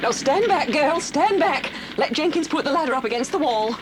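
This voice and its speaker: A woman's voice: high voice, animated, normal speed, noisy background. A woman with an English accent playing an anxious but authoritative headmistress in a children's audio drama, giving urgent commands. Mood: anxious, commanding.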